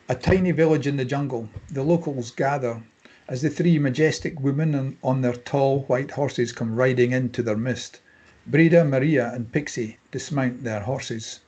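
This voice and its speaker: A man with a husky voice reading scene headings and stage directions as scripted narration at a table read. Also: medium pitch, a little mellow, low-quality recording.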